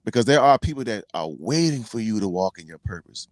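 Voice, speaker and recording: A man's voice: lowish voice, lively intonation, brisk, no echo. A man in his forties, a team trucker and co-host, talking bluntly and preachily in casual slang. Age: 40s. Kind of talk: casual podcast chat.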